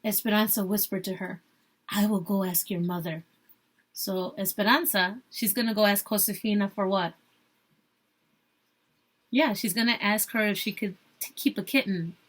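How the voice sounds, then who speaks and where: A woman teacher reading a story aloud expressively, pausing to put questions and asides to her young listeners. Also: medium pitch, a bit bright.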